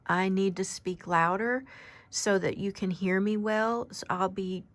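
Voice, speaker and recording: Soft-spoken woman in her fifties. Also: medium pitch, fairly even intonation. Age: 50s. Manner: gentle, halting, with frequent pauses and restarts. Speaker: oracle card reader. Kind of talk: unscripted spiritual card reading.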